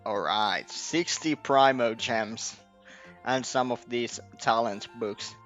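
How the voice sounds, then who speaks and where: A man giving casual gameplay commentary, halting as he thinks aloud. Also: mid-range voice, a bit bright, lo-fi sound. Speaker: video game streamer. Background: game music.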